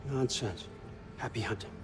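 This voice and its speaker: A man's voice: medium pitch, normal speed, natural voice. A man playing a cunning, aging patriarch of the gods in a video game, speaking in a conversational, coaxing way that can turn commanding. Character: cunning, persuasive old god, patriarch of gods. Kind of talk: cinematic video game acting. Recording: music under the voice.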